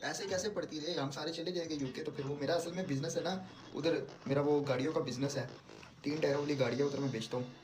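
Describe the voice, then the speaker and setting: A man's voice: fairly high pitch, fairly even intonation, quick pace, darkish tone, slight background noise. A young man doing prank call banter in a pleading, insistent, repetitive manner. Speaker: prank caller and show host.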